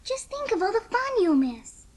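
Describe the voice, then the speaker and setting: A woman's voice: very high-pitched, very animated, normal speed, darkish tone, no room sound. An actress playing a chatty, earnest young schoolgirl in a dramatised audiobook, eager and full of exclamation. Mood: dismayed.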